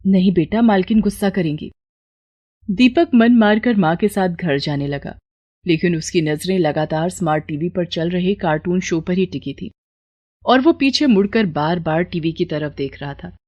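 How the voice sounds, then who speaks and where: A woman's voice: on the low side, expressive, moderate pace, darkish tone, no room sound, highs cut off. A woman narrator reading a scripted moral story.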